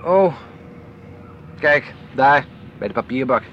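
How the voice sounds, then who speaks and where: A man's voice: medium pitch, animated, slow pace, dull audio, slight background noise. An actor playing an impatient, grumbling man who likes to tease, in a naturalistic radio play. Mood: disgusted, irritated. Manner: brusque, exclamatory, teasing. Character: impatient, grumbling, teasing man on a park outing.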